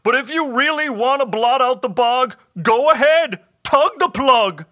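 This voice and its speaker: A man's voice: very high-pitched, a little slow, sharp tone, pitched above the performer's own voice, exaggerated voice. A man voicing a tearful, indignant hobgoblin from a storybook, with a slobbery, wailing manner. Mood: exasperated. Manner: sobbing, wailing, slobbery howl. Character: tearful, pleading, indignant male bog hobgoblin.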